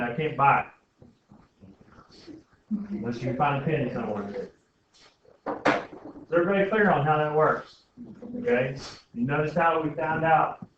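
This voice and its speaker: Man teaching in a very echoey room. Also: medium pitch. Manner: informal, frequent checking questions. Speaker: algebra teacher. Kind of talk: classroom lesson, thinking aloud with questions to students. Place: indoor classroom. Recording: very echoey classroom, distant mic, muffled, faint noise.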